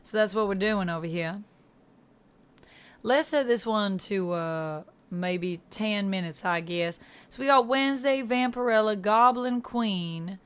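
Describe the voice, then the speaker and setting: A woman's voice: mid-range voice, lively intonation, measured pace, smooth. Woman livestream host, Georgia-style Southern accent, casual and chatty, talking directly to viewers by name. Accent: Southern US, Georgia, put on.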